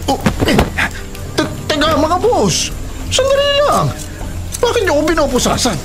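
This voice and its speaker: An actor playing a brazen, vengeful illegal logger, blustering and quick-tempered, in a radio drama. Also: male voice, extremely high pitch, wildly swooping pitch, heavily acted, poor audio. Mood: alarmed. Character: brazen, vengeful male illegal logger, hot-headed villain. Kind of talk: Tagalog radio drama acting. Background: dramatic background music.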